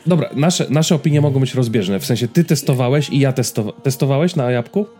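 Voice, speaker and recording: A man's voice: mid-range voice, fast talker, mellow, soft background music. A man co-hosting a podcast, chatting casually about tech and games. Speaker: podcast co-host. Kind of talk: casual tech and gaming chat.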